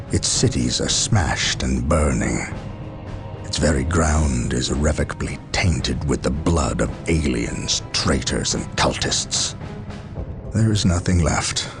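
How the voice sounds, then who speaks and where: Very deep, solemn warrior captain. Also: male voice, vocal fry, full character voice. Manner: solemn, weighty, declamatory. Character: stern, resolute space marine captain, grimly righteous. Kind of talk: dramatic video game cutscene acting. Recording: loud score underneath.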